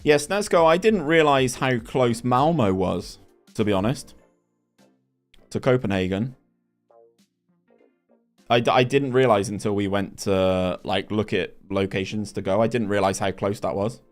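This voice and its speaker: A man's voice: lowish voice, a little slow, long pauses, faint music behind. A young man, chatting casually on a stream and reading chat aloud with lots of restarts. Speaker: gaming streamer.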